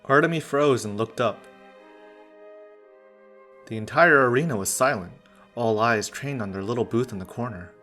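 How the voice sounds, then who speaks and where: A man narrates a story with drama, reading from a script. His voice sits at an average pitch for a man. Music plays faintly behind him. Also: moderate pace, pauses often, sharp tone.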